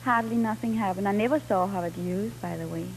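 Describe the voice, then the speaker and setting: A Danish-accented woman in her twenties, answering briefly and coyly in a mid-pitched voice. The sound is an old broadcast with faint noise. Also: brisk, gravelly, low-quality recording. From Denmark. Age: 20s. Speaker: beauty contestant.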